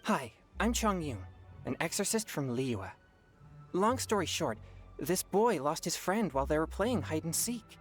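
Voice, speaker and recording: A man's voice: on the high side, very animated, measured pace. Earnest teen boy, takes his time, lilting game voice, music under it. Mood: friendly. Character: earnest, reassuring teenage boy exorcist. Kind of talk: anime-style video game voice acting.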